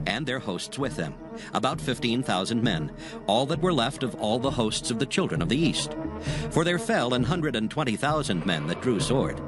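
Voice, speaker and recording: Animated male scripture narrator over music. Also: medium pitch.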